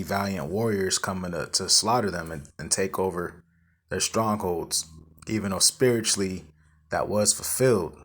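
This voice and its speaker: A man teaching from scripture in a loose, halting way, reading verses slowly and adding casual asides. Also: low voice, fairly bright tone. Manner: drawn-out scripture reading with filler asides like "all right" and "man"; halting, repeats words while searching for them. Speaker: Bible teacher.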